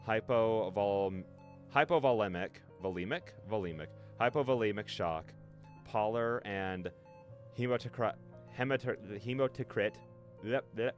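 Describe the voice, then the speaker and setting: A man's voice: lowish voice, normal speed. A man streaming a story game, reading narration aloud with feeling and reacting casually, with music behind him.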